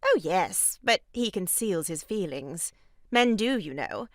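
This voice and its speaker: A woman's voice: fairly low pitch, expressive, takes it slowly, no room sound, studio quality. An actress playing a composed married lady in a comic farce, prim, formal and dryly polite. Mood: brisk, composed. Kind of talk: comic stage farce acting.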